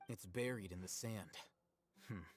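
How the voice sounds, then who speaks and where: An actor playing a calm, analytical male scholar in a game, measured and deductive. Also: fairly low pitch, faint music behind, lightly acted. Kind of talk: game voice acting.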